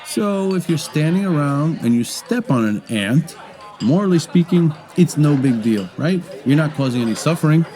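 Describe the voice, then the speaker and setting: A man's voice: a little high, expressive, normal speed, mellow, faint music behind, a faint crowd, close to an everyday voice. A man acting in an audio drama as a brash, argumentative friend who debates in a glib, provocative, conversational way. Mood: teasing debate. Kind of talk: naturalistic audio drama dialogue.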